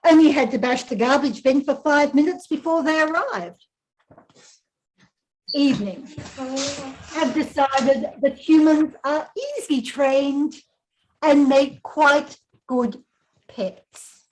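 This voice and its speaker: A lazy, demanding storybook wombat voiced by an older woman, high in pitch and very slow. Each short diary entry is set down with deliberate, deadpan self-importance. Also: poor audio. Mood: demanding, smug. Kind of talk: picture book read-aloud.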